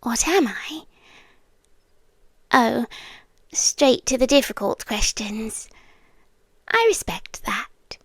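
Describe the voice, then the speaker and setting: A British woman playing a lonely, possessive demon girlfriend in an intimate ASMR roleplay, breathy and coaxing, often slipping into whispers with lingering pauses. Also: fairly high pitch, big pitch swings, no echo, full character voice. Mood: playful, possessive. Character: lonely, possessive yandere demon girlfriend, once human, soft-spoken. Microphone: close ASMR microphone, dry.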